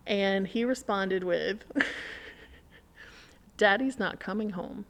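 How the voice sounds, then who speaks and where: A woman's voice: medium pitch, very slow. A woman in a casual podcast conversation, telling an anecdote and acting out quoted remarks as she goes.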